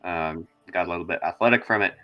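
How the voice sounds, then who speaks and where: A man's voice: low voice, quick pace, dull audio. A man taking part as a roleplaying player, casually and tentatively thinking aloud about his character's actions.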